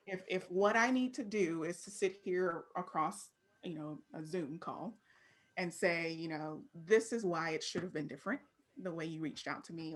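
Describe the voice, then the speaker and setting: A woman from Texas with an American accent speaks in a fairly low voice. She talks casually as an interview guest, candid and informal in how she puts things. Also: a bit bright. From Fort Worth, Texas, United States. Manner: candid, informal, frequently laughing. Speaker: interview guest, creative professional.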